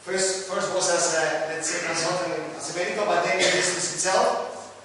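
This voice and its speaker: A middle-aged man from Argentina with an Argentine Spanish accent, giving a live lecture in a halting, self-correcting way and joking with the audience. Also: sits high, fairly even intonation, sharp tone, slight background noise. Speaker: lecturer and coach. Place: large lecture hall. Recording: echoey hall, speaker far from mic.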